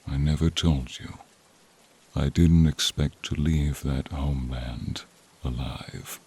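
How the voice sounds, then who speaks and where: A man voicing a weary ancient dragon, noble and gentle, with solemn, formal speech in a dramatic fantasy ASMR roleplay. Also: slightly rough, full character voice. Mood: fading, tender. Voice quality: deep voice. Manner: slow, solemn, formal, archaic phrasing. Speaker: voice actor and narrator.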